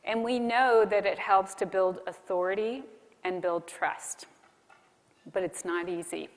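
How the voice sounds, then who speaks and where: A woman giving a keynote presentation to a conference room, explaining things deliberately with emphatic pauses. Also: mid-range voice, fairly even intonation. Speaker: keynote speaker. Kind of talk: conference presentation on marketing to a live audience. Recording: reverberant hall sound.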